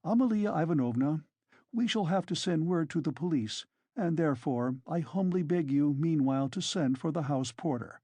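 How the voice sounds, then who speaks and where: Narrator acting pompous gentleman, formal, insistent, lively intonation, dry close sound, audiobook. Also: medium pitch, moderate pace, husky, no echo, voice pushed up. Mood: coldly formal. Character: pompous, self-important, reproachful gentleman accuser. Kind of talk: dramatised audiobook reading.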